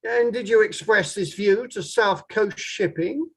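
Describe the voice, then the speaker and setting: An actor as a courtroom inquiry lawyer in a read-through of a drama. The questioning is formal and measured, pressing the witness step by step at a middling pitch. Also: fairly bright tone, breathy edge. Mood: formal, probing.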